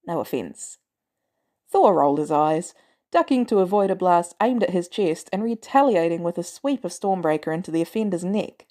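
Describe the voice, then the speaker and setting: A woman reading scripted fiction as a podfic narrator, with expressive, dramatic emphasis. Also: on the low side, brisk.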